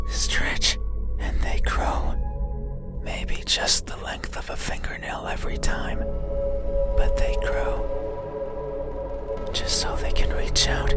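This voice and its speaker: An actor voicing an eerie, needy creature in a horror visual novel, speaking in a breathy, voiceless whisper with halting, lingering words. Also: male voice, natural voice. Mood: brooding. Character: eerie, needy creature with restless grasping hands.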